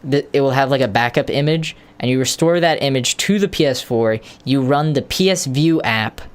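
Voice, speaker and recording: A man's voice: medium pitch, moderate pace, clear, resonant tone. A man casually rambling on a live stream, hesitating with lots of ums and restarts while explaining tech steps to viewers.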